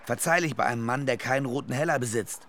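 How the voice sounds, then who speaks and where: A man's voice: mid-range voice, fast talker, a bit bright, close to an everyday voice. An actor playing a stern, condescending man in radio drama dialogue.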